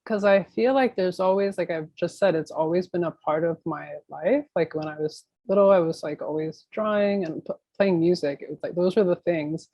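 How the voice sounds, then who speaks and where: An American woman answers interview questions in an informal, meandering way. Her voice sits a little low. The sound is very dry, with hardly any room in it, as she thinks aloud like an artist chatting about work. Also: moderate pace, hardly any pauses, dark tone, dry recording, muffled recording. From Miami, United States. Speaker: interview guest, new media artist. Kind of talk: casual interview conversation.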